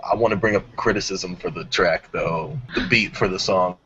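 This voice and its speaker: A man's voice: low voice, normal speed, noisy background. A man hosting a music discussion show, talking casually with his panel. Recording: dull audio with music behind.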